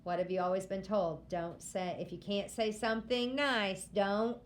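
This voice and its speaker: A woman reading a novel aloud to listeners, pausing often to ask them questions about the story. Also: mid-range voice, fairly even intonation, slight background noise. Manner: slow, deliberate, stopping to quiz listeners.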